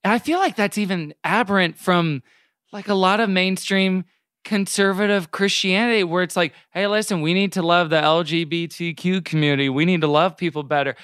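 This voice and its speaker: A man's voice: high voice, fairly even intonation, brisk, fairly bright tone, clean tone, no echo, clean studio sound. A man co-hosting a podcast in casual discussion, wry and often qualifying his points as he goes. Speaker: podcast co-host.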